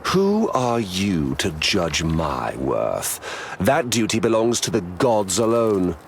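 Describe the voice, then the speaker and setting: A man's voice: low voice, very animated, slow, vocal fry, a little hiss or hum, exaggerated voice. A man playing a scheming, arrogant trickster god-king in a video game, pompous and mocking. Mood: indignant.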